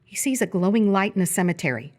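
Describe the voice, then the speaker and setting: A woman's voice: medium pitch, lively intonation, fast talker, a little mellow. A woman reading scripted stage directions as the narrator of a live radio theatre piece. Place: live stage performance before an audience.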